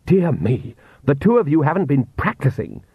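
A male narrator plays an arrogant vampire count in a storybook reading. He is a domineering father who lectures pompously, his pitch moving freely in a dry, slightly dull recording. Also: wildly swooping pitch, normal speed, creaky, breathy edge, no echo, heavily acted. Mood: smug, lecturing.

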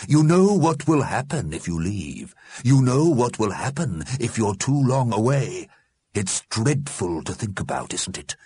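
A man's voice: on the low side, big pitch swings, unhurried, dark tone, slightly rough, no echo, pitched above the performer's own voice, full character voice. A man voicing a stern, sanctimonious dead man who admonishes pompously, in a storybook reading. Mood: admonishing.

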